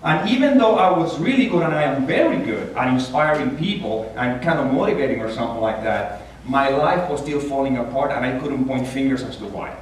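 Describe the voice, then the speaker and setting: A man's voice: a little fast, a little hiss or hum. Puerto Rican man speaking in an echoey church. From Puerto Rico. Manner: earnest, animated, rises in pitch when stressing a point. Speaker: guest speaker. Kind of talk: inspirational talk at a church. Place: large church hall. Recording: echoey hall, taken from far off.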